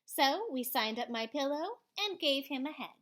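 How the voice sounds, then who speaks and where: A woman reading a children's storybook aloud expressively, like a school librarian, with theatrical swells and hushed drops. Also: high-pitched, animated, normal speed.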